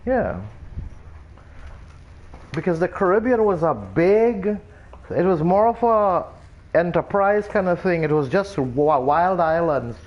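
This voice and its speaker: A man's voice: high-pitched, lively intonation, slow pace, pauses often, highs cut off. A man from Trinidad with a Trinidadian accent, chatting casually as a streamer and explaining things in a rambling way, full of restarts. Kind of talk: casual chat while gaming.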